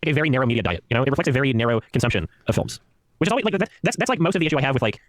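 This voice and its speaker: A man's voice: medium pitch, sing-song, very fast, dry recording. A man giving conversational commentary, hedging as he argues his point.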